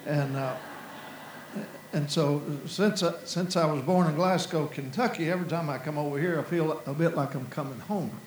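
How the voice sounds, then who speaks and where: A man with a Southern American accent preaching a sermon in a folksy, rambling way, restarting phrases and filling pauses. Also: a little high, a little hiss or hum. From Southern United States. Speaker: guest preacher. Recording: echoey hall with faint ambience.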